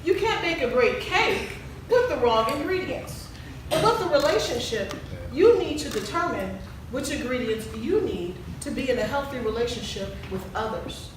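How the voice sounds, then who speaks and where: A woman playing a composed relationship counsellor in a stage play, measured, probing and professional. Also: high voice, lively intonation. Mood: composed, instructive. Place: large echoey indoor stage venue. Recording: very echoey, distant, background noise.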